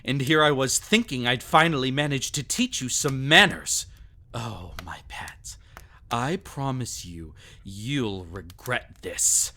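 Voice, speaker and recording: A man's voice: medium pitch, lively intonation, takes it slowly, pushed and bright, exaggerated voice. A man playing a sadistic, possessive vampire in intimate ASMR roleplay, theatrical and taunting, often dropping into a whisper. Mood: angry, threatening, then menacing whisper. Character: sadistic, possessive male vampire toying with a captive.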